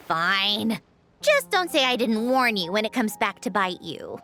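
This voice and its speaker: Dry, condescending scientist. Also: female voice, mid-range voice, very animated, slow pace, noisy background. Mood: wry. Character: aloof, sardonic female puppet-maker scientist.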